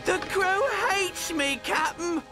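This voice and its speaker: High, creaky oaf. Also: male voice, slow pace, music in the background, heavily acted. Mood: mournful. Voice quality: gravelly, creaky. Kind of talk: animated video game character acting.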